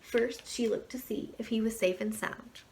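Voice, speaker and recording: Woman, storyteller, folk tale, gravelly creaky voice, calm and gentle. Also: a little high, moderate pace, a little hiss or hum. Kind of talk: reading a folk tale aloud.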